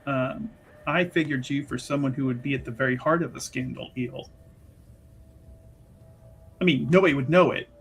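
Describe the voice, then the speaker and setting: A man's voice: mid-range voice, moderate pace, long pauses, a bit bright, husky. A man voicing a sly, teasing female investigator with a politely probing, insinuating manner in a tabletop game.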